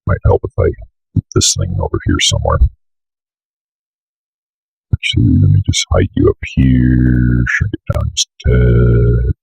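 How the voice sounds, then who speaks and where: Very deep, gravelly man talking through software. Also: very animated, unhurried, long pauses, no room sound, low-quality recording. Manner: rambling, thinking aloud, mildly profane. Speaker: tutorial presenter. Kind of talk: casual screen-share software walkthrough. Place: indoors at a computer desk.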